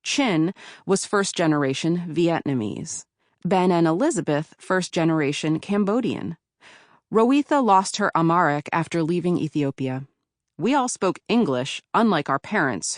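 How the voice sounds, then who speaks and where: Low-voiced woman reading her memoir. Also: animated, normal speed, no echo, clean studio sound. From Philadelphia, USA. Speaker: author narrating her memoir. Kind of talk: audiobook memoir narration.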